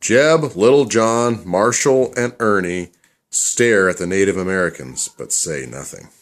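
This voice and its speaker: A man's voice: on the low side, unhurried. A man reading scripted storybook narration for a puppet show.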